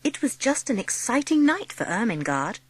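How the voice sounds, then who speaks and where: A woman reading expressive storybook narration for a dramatised audiobook. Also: a little high, normal speed, husky.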